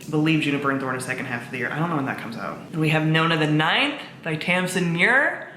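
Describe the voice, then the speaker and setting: Bright-voiced, enthusiastic woman chatting casually. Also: low-pitched, lively intonation, quick pace. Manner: enthusiastic, informal with swearing and frequent "like". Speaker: book vlogger, presenter of own channel. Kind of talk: casual chatty vlog talk to camera. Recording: roomy echo, faint background noise.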